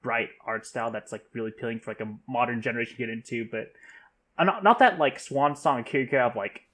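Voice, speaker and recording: A man in a casual podcast discussion, tentative and halting, often correcting himself mid-sentence. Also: mid-range voice, fairly bright tone.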